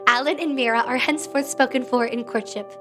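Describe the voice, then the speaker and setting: Woman acting a composed, gracious leader, higher voice. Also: fairly even intonation, over music, close to an everyday voice. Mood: solemn. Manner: measured, gently formal. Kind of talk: audio drama acting.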